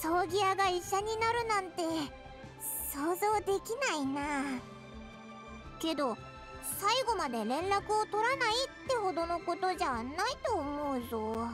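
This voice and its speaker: Squeaky, very high tiny fairy. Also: female voice, lively intonation, measured pace, music in the background. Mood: puzzled. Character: tiny, childlike, naive floating fairy companion. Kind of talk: Japanese anime game acting.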